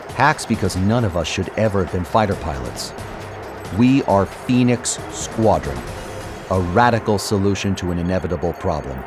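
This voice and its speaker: An actor playing a brooding cyborg fighter pilot, narrating his war in a solemn, measured, intense way. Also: deep voice. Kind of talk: dramatic scripted narration, audio drama.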